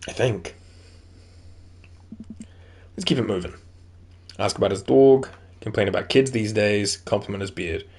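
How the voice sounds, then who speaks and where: A man's voice: fairly low pitch, measured pace, pauses often, a little mellow, husky. A young man with a New Zealand accent, reading a video game's dialogue aloud and joking between lines as a YouTuber. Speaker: gaming YouTuber. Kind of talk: reading game dialogue aloud with casual commentary.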